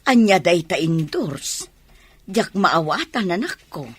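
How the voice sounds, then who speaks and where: Husky, emotional village mother. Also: on the low side, sing-song, takes it slowly, no echo, heavily acted. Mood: puzzled. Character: simple, emotional, proud mother of an athlete. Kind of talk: Ilocano radio drama acting.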